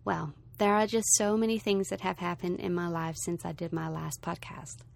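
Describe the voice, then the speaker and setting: A woman's voice: fairly low pitch, little pitch movement, normal speed, gravelly. A woman in her thirties from Denmark with a light Danish accent, hosting a podcast and chatting casually with lots of fillers. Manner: chatty, warm filler-laden storytelling. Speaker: podcast host. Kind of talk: podcast intro and casual interview talk.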